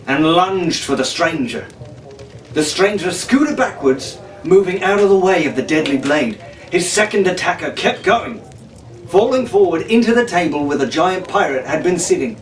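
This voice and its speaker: A man narrating an adventure story in a dramatic, scripted audiobook style. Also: a little high. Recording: roomy, distant mic, faint noise.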